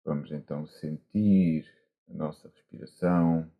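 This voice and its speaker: A man with a European Portuguese accent, a monk guiding a meditation gently and haltingly, with long pauses, over a video call. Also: very deep voice, fairly even intonation, vocal fry. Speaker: Buddhist monk, meditation teacher. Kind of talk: guided meditation instruction. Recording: muffled, dull online call audio.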